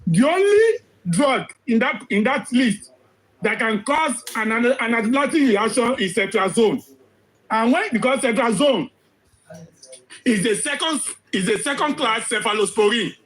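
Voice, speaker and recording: Man, very high-pitched voice, emphatic commentator with rhetorical questions. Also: lively intonation, a little slow, a bit bright, low-quality recording. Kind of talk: explanatory commentary.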